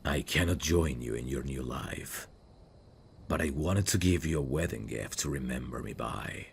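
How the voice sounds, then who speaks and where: A man playing a brooding evil prince, tender and sorrowfully noble, speaking in a slow, formal, wistful way for an intimate ASMR fantasy roleplay. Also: rumbling low, gravelly, slight background noise. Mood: tender, solemn.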